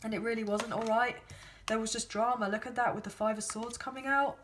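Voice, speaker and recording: Woman, sore-throated voice, rambling tarot reading, slightly high, faint background hiss. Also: steady tone, fairly quick, a bit bright. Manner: chatty, rambling, hedging with many fillers. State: sore throat, losing her voice. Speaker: tarot card reader. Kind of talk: casual spoken tarot reading.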